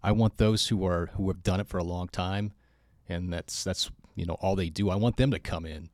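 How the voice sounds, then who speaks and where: A man hosting a casual fitness podcast, who tells stories in a relaxed, self-deprecating way. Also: sits low, moderate pace, no room sound, studio quality. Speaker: podcast host and fitness school instructor.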